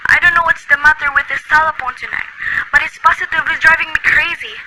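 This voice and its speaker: A woman's voice: high voice, fairly even intonation, fast talker, natural voice. A woman voicing a nervous bedridden invalid, frantic and complaining, near tears. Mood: indignant. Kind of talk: student radio play reading. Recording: loud background noise.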